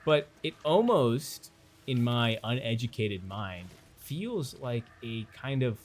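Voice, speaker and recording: A young man chatting casually while playing a video game, rambling and self-deprecating and losing his train of thought, with soft game music behind him. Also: medium pitch, expressive, takes it slowly. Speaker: gaming video host. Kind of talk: casual sleepy gameplay commentary.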